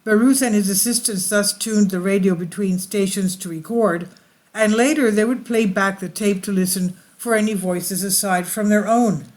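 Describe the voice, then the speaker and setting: A woman's voice: mid-range voice, normal speed, a little mellow. A woman hosting her channel, reading an article aloud and often stumbling and correcting herself mid-sentence. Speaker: channel host.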